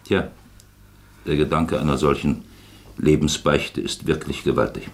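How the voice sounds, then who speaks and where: An actor playing a shrewd, patient magistrate in a radio play, speaking deliberately and probingly with a fatherly air. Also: very deep voice, steady tone. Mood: probing, ironic. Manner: deliberate, probing, pausing for weight. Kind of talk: German radio play acting.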